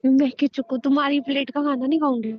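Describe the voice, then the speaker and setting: A stubborn young wife, played by a woman, talking back in a high, sharp voice. The recording is dry and a little dull. She refuses every order flatly. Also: fast talker, dry recording, close to an everyday voice. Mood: defiant. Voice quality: sharp and defiant. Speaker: wife in staged call recording. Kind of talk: scripted domestic drama.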